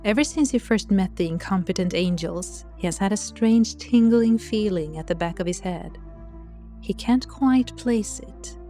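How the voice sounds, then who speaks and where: Woman narrator, scripted audio drama story, mid pitch, music playing under her. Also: a little slow, dark tone.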